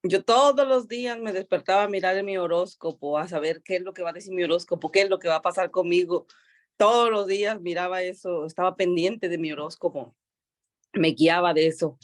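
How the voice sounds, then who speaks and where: A woman's voice: lowish voice, brisk. Lady co-hosting bible study, just chatting about her own life, casual testimony.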